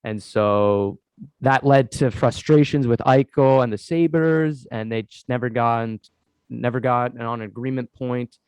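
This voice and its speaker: A man's voice: mid-range voice, steady tone, measured pace, darkish tone, clear, resonant tone, dry recording, poor audio. A man hosting a casual sports podcast, congested from a cold, rambling with lots of fillers as he talks to his co-host. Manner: rambling, many "uh" fillers, keeps addressing co-host.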